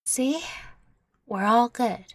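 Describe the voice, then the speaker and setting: A woman's voice: on the high side, animated, drawn-out words, dry recording, exaggerated voice. A woman playing a proud, combative young fairy in an audio roleplay, brash and sarcastic. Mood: reassuring.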